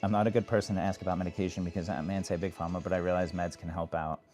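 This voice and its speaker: Brief: deep, even-toned man on a phone livestream, casual advice chat, faint music underneath. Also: rapid-fire. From New York. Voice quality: monotone. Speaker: livestream host. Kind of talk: casual live chat answering viewers.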